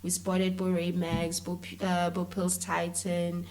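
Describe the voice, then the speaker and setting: A woman's voice: on the low side, monotone, a little slow, a little mellow, rough recording. A South African woman talking casually and animatedly as an interview guest, often dropping in "like" and "you know". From Mpumalanga, South Africa. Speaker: interview guest, actress, musician and filmmaker.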